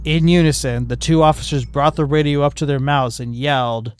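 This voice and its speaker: A man's voice: on the high side, steady tone, a little fast, heavy background noise. A man narrating a fan fiction story in a plain, matter-of-fact way.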